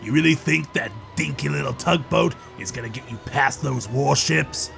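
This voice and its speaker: A man voicing an arrogant, greedy goblin trade prince with a gravelly, sharp voice and a blustering, insulting manner in a fan audio drama. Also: medium pitch, moderate pace, faint music behind. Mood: mocking.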